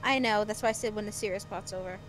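A woman's voice: mid-range voice, quick pace, a bit bright, a little hiss or hum, poor audio. A woman chatting casually during a game playthrough, teasing and joking. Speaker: amateur voice actor in a game playthrough. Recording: game music behind voice.

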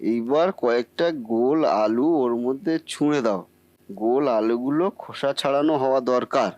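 A man's voice: medium pitch, moderate pace, a little mellow, highs cut off, a little hiss or hum, close to an everyday voice. A man voicing a bumbling, boastful cartoon husband who cannot cook, muttering theatrically to himself. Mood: baffled, fretful. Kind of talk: comic Bengali cartoon acting.

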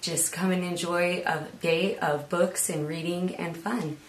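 A woman's voice: fairly low pitch, steady tone, unhurried, slight background noise. A woman in her thirties, a guest author, talking informally to the camera. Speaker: children's book author, guest. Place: small indoor room with window air conditioner. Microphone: camera a few feet away. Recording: echoey room.

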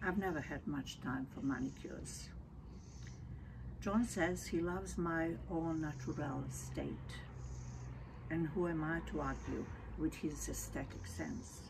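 An older woman from Serbia, reading poetry in measured, deliberate phrases. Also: deep voice. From Belgrade, Serbia. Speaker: poet. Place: outdoors in a leafy garden. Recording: noisy outdoor ambience.

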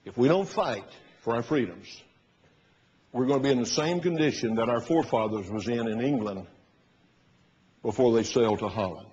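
An older man in his sixties with a husky voice, preaching an emphatic, oratorical sermon as a pastor. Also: normal speed, long pauses. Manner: emphatic, oratorical, rising to loud bursts and dropping low. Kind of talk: fiery sermon. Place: large church sanctuary, at the pulpit platform. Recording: muffled recording in a reverberant church hall.

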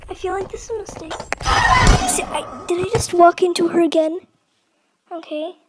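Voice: moderate pace, very noisy. A youthful gamer with a voice-changer effect, giving casual, dramatic and playfully self-deprecating gameplay commentary. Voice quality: high-pitched, youthful voice, altered with voice effects. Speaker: gaming video creator.

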